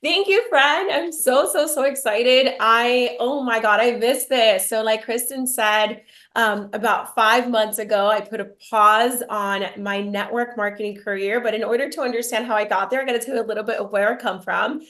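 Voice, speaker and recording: A woman's voice: on the high side, normal speed, fairly bright tone. A woman from Miami, born in Cuba, presenting her business in a bubbly, gushing way. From Cuba, raised in Miami. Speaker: network marketing presenter. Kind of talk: promotional live presentation.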